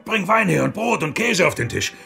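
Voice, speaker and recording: Radio drama monk reformer: earthy and scornful, heavily gravelly voice with wide swoops of pitch. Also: male voice, sing-song, moderate pace, pushed and bright, soft background music, full character voice. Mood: boisterous. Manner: hearty, coarse, mocking, chuckling. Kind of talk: German radio play acting.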